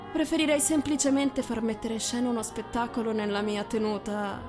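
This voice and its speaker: A woman's voice: a little high, lightly acted. A woman voicing a condescending young aristocratic fiancé, patronising and controlled, in a visual novel reading.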